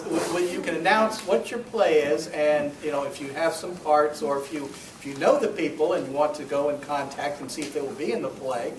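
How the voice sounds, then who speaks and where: A man speaking as club president, giving an informal welcome and announcements in a halting, rambling, self-correcting way. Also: fairly high pitch. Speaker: club president hosting a meeting. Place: meeting room, recorded from a distance. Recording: roomy, distant mic, faint noise.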